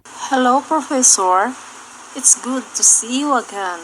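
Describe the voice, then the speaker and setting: A woman's voice: a little high, measured pace, a bit bright, slightly rough. A woman playing a friendly doctor and scientist in a fan audio drama, on a slightly noisy home recording.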